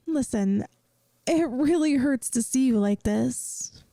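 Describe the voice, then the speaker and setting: A woman playing a caring young best friend in a soft-spoken ASMR roleplay, gentle and earnest, hesitant at times, offering reassurance. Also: high voice, animated, slow pace, no echo, studio quality, full character voice. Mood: gently reassuring.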